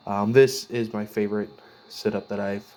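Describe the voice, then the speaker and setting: A man giving a casual, unscripted walkthrough of his hobby gear, speaking plainly and haltingly with pauses mid-sentence. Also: fairly low pitch, fairly even intonation, darkish tone. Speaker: radio hobbyist presenting own gear.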